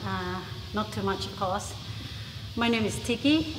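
A woman's voice: mid-range voice, animated, unhurried. A confident, chatty woman in her fifties from Thailand, with a Thai accent mixed with English and New York, talking casually to the camera in a large gym. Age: 50s. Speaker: interviewee. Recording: echoey gym with reverb and noise.

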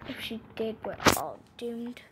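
A woman's voice: on the high side, animated, a little slow. A young woman improvising role-play narration over a video game in a playful sing-song manner, recorded on a phone microphone. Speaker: host of a gaming channel. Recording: noisy amateur phone screen recording.

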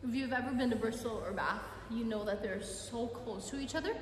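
A woman's voice: a little high, a little fast, slight background noise. A woman in her twenties chatting casually for a travel vlog, recorded on a handheld phone, mostly out on the street. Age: 20s. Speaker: vlogger. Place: mostly outdoors on city streets. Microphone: handheld phone at arm's length. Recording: loud music over handheld vlog audio.

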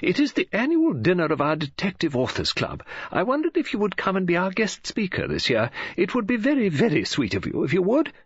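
A man performs a bubbly middle-aged woman writer who coaxes and fusses. The voice sits very high, husky and lively. The audiobook recording sounds a little dull. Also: a little fast, hardly any pauses, dry recording, full character voice. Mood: coaxing. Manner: gushing, coaxing, high breathy falsetto. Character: chatty excitable middle-aged female novelist.

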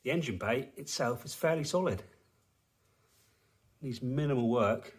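A man's voice: mid-range voice, lively intonation, measured pace. A man in his forties from England, giving matter-of-fact commentary with dry, understated remarks while filming a walkaround of an old car in an indoor garage workshop. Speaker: car restorer, channel host.

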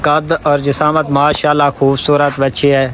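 A man's voice: fairly high pitch, monotone, moderate pace, a bit bright, a little hiss or hum. A man presents a sales vlog, often repeating filler phrases, recorded outdoors on a phone. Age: 20s. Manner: repetitive verbal filler phrases. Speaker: cattle seller and video presenter. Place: open-air farm yard. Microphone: phone at arm's length.

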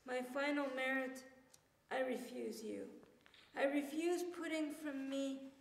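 A middle-aged woman reading poetry aloud as a poet, with deliberate, declamatory phrasing. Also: high-pitched, huge pitch swings. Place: church meeting room with tiled floor, indoors. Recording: roomy, reverberant hall sound.

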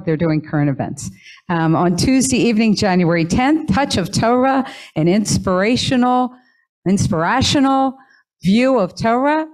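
A woman's voice: lowish voice, a little mellow. A woman reading community announcements after a synagogue service in a friendly, chatty way, in a sanctuary. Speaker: congregation announcer.

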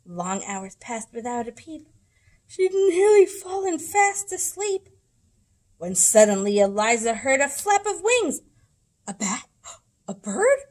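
A woman in her thirties reading rhyming picture books aloud to children, with dramatic, deliberate storybook phrasing. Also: high-pitched, very animated. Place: indoors in a library room.

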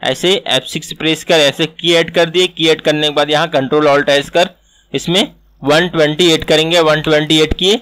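A man with a slightly high voice narrates a software tutorial. He explains step by step, repeating each instruction as he goes. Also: steady tone, normal speed. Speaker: animation tutor. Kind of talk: screen-recorded software tutorial.

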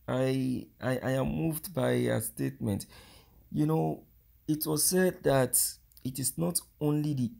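Male channel host, very gravelly creaky voice, halting unscripted commentary. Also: mid-range voice, darkish tone. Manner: halting, repeats words, filler "uh". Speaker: news commentator. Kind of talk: casual news commentary.

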